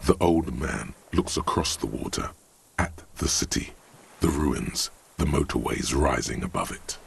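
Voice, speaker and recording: An actor voicing a somber, all-knowing narrator in a detective video game, reading literary prose in a measured, solemn, gravelly voice. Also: male voice, very deep voice, expressive, full character voice. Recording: music under the voice.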